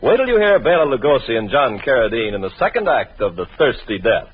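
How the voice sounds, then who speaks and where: A man's voice: medium pitch, very animated, brisk, slightly rough. A man hosting an old radio drama, teasing listeners in a theatrical manner. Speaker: radio show host. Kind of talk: scripted intermission talk. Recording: dull old radio recording with music.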